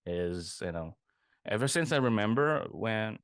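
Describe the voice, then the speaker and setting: A man's voice: fairly low pitch, expressive, a little slow, fairly bright tone, dry recording, clean studio sound. A young man from Indonesia, a guest artist chatting casually in an interview, rambling and correcting himself as he goes. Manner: rambling, self-correcting, laughs often.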